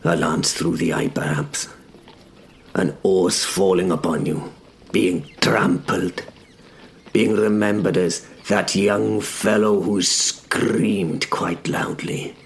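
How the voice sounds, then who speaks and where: A man playing a wry, fearful young medieval knight who narrates his battles in a deadpan, measured way for an audio drama. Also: low-pitched, vocal fry, full character voice. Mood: dry, dreading. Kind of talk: first-person scripted dramatic narration.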